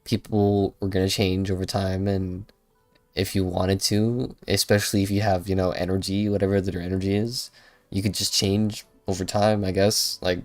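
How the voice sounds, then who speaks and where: Very deep-voiced man, casual streamer. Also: darkish tone, no echo. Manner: rambling, informal, hesitant. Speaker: game streamer. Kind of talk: casual livestream game commentary. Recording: dry close voice, faint game music.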